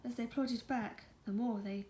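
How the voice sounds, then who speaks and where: A woman reading a picture book softly in an ASMR storytime style, often slipping into a whisper and cooing to a pet. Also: fairly high pitch, fairly even intonation, a little slow. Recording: faint background noise.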